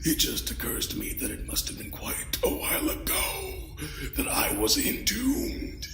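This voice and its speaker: Swooping, pompous undead old lord. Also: male voice, very high-pitched, wildly swooping pitch, gravelly, sharp tone, roomy sound, heavily acted. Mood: bitter, scheming. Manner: theatrical, pompous, slow dramatic delivery. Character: ancient decayed undead lord, grandiose, bitter, theatrical old villain. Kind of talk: audio drama acting.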